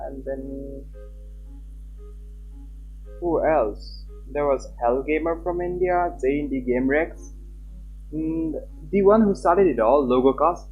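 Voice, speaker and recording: A man live-streaming a game, reading aloud and chatting, halting at times and stumbling over his words. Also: medium pitch, highs cut off. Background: game music.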